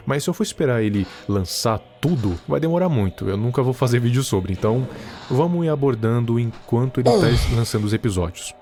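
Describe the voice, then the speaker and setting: A man's voice: mid-range voice, a little mellow. A man with a Brazilian accent giving scripted narration with casual, conversational asides. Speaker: video essay narrator.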